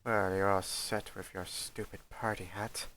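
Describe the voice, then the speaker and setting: A man's voice: deep voice, measured pace. A man voicing a cranky, sarcastic small reptilian alien spaceship crewman in a comic dub. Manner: sarcastic, excitable. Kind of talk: comic dub character acting.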